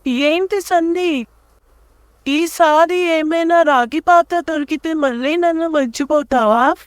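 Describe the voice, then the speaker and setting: A woman's voice: sits high, slow, dry recording, clean studio sound, pitched above the performer's own voice. A woman voicing a caring young male squirrel in a children's animal story, earnest and questioning. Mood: reproachful.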